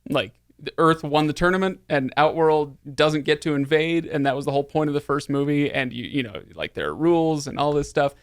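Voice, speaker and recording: A man's voice: fairly high pitch, fairly quick, clean tone, no room sound, clean studio sound. A man hosting a podcast, talking casually in a wry, rambling way about film. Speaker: podcast host.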